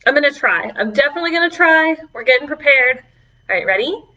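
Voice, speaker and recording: A woman children's librarian leading a preschool storytime online, encouraging and animated as she talks to young children. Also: sits high, quick pace, far from the mic. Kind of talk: preschool singalong and chat to children. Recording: dull, lo-fi online video audio.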